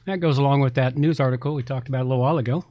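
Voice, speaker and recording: A man's voice: mid-range voice, very fast, mellow, dry recording. A man co-hosting a podcast, reviewing products in a casual, chatty, folksy way. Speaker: podcast co-host.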